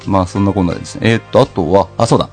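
Male podcast host: low register, very quick, informal joker who teases his co-hosts. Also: darkish tone. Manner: joking, teasing, informal. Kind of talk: casual comedic banter. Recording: music behind the voice. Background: background music.

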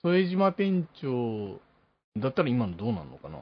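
A man guesting on a radio talk show, joking casually in blunt, rough masculine speech. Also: medium pitch, very animated, moderate pace. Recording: dull, lo-fi compressed audio.

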